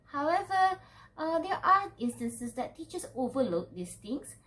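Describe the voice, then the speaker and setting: A young woman with a Malaysian accent presents from a script. Her voice sits high and moves up and down a lot. She reads formally and with care. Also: very animated, unhurried, fairly bright tone. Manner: formal, carefully read. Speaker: student presenter.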